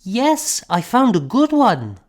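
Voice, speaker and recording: Man playing a little girl, high-pitched, excitable, storybook character. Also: slow pace, full character voice. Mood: eager. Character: small excitable girl begging to be included.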